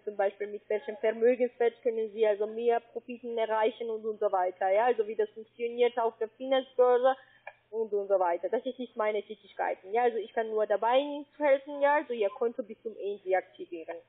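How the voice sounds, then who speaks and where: Husky Spanish-accented woman on a phone line. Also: on the high side. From Spain. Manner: broken German, spells letters out slowly and patiently. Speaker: call-centre agent. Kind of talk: phone sales call.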